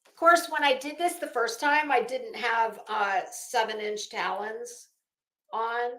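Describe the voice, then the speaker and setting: A woman's voice: on the high side, unhurried, sharp tone, echoey room, rough recording. A woman hosting a casual livestream, thinking aloud in a rambling, hesitant, informal way and reading documents out. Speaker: livestream host. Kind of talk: casual live commentary mixed with reading legal documents aloud.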